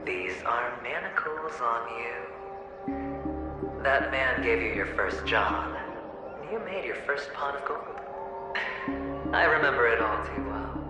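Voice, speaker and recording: An actor playing a mocking, theatrical inner tormentor in a video game, insinuating and sometimes dropping to a breathy tone. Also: male voice, medium pitch, lively intonation, takes it slowly, pauses often. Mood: sly taunting. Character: taunting, theatrical male inner tormentor needling a gambler. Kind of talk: dramatic video game voice acting. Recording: very echoey, loud music behind.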